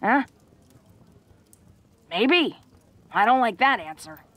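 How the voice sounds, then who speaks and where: A woman playing a tough but awkward, affectionate young orc woman in an intimate roleplay audio drama, talking in a folksy, halting way. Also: fairly high pitch, lively intonation, fairly bright tone, dry recording, exaggerated voice. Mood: sheepish, teasing. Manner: folksy, halting tough-girl talk with small chuckles.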